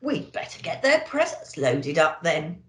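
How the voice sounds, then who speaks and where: Woman putting on a booming, jolly old Santa. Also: big pitch swings, unhurried, echoey room. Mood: hearty. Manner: chuckling, blustering.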